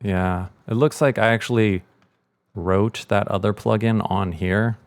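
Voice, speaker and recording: A man's voice: fairly low pitch, measured pace, smooth, dry recording. A middle-aged man explaining sound mixing in an informal tutorial, thinking aloud as he goes. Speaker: sound mixer giving a tutorial. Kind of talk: casual tutorial walkthrough.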